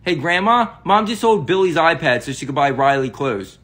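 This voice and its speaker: A performer voicing a laid-back older brother in a comedy skit, casual and teasing. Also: female voice, low-pitched, animated, moderate pace, a bit bright. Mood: reassuring.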